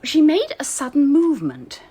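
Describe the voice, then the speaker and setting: An actress playing a prim, guarded schoolmistress with brisk, precise speech in a radio mystery drama. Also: female voice, sits high, wildly swooping pitch, warm tone.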